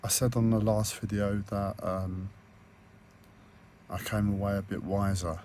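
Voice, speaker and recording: A hoarse man records a personal video diary on a tablet, his voice low and flat. He talks hesitantly, full of fillers and restarts. A faint background noise sits under the recording. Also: a little slow. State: croaky, hoarse voice. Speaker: vlogger.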